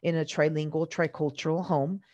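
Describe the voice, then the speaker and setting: A woman in her forties, a teacher, giving a conference presentation, born in Venezuela with Italian as her first language. She speaks earnestly and carefully, often pausing to find her words. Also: low-pitched, steady tone, mellow, no echo, dull audio.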